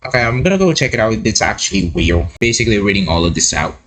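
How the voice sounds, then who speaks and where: Animated, husky man reacting casually. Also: lowish voice, quick pace, faint music behind. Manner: excitable, repeats words, interjects while reading. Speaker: host of a reaction video. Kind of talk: casual reaction commentary, reading on-screen text aloud.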